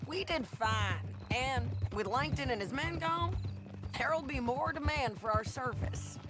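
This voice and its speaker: An actress playing a tough female bounty hunter who gives brisk orders. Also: high voice, expressive, over music. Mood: dry. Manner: commanding, brisk.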